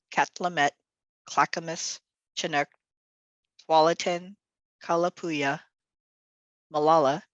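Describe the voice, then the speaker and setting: A woman's voice: deep voice, no echo, rough recording. A woman whose first language is Tagalog, hosting a virtual event as executive director, chatty and upbeat with many fillers, speaking over a video call. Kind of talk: virtual event welcome and announcements. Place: home or office on a video call.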